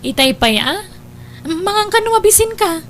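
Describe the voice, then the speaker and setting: A woman's voice: extremely high pitch, sing-song, moderate pace, a bit bright, a little hiss or hum, heavily acted. A woman playing a caring, chatty older sister in an Ilocano audio drama. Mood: caring, curious.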